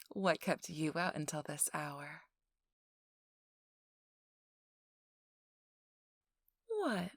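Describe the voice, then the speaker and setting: Woman playing a slow, sultry, teasing socialite. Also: lowish voice, gravelly, dry recording, clean studio sound. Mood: playful, teasing.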